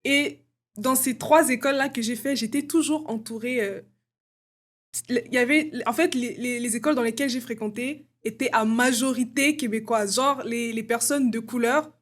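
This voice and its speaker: A woman's voice: fairly high pitch, normal speed. A young woman from Congo, a student guest, talking casually in an interview with lots of fillers. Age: young adult. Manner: casual, many fillers like "genre" and "euh".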